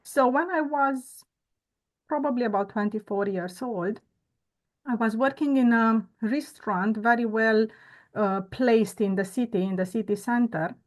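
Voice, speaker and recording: A woman's voice: a little high, low-quality recording. Earnest woman. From Romania. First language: Romanian. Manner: earnest, reflective, with frequent "uh" and "um" pauses. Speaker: guest. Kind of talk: conversational interview storytelling.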